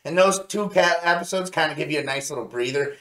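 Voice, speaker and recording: A man's voice: fairly high pitch, fast, fairly bright tone. A man chatting casually as co-host of a review show, recounting scenes in an enthusiastic, rambling way. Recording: echoey, roomy sound.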